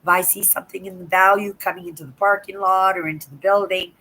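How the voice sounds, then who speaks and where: Older woman, livestream host, hoarse from a sore throat; rambling chatty delivery, pitched slightly low. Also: fairly even intonation, fast, bright, forceful tone, rough recording. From Canada. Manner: rambling, chatty, keeps adding "right?". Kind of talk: casual live chat to viewers.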